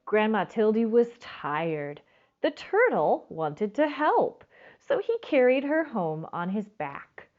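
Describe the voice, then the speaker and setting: Woman storytime reader, expressive and lively in pitch, addresses children directly, slightly dull lo-fi recording. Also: slow pace. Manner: expressive, stretches words for emphasis. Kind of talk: children's storybook read-aloud with asides to listeners.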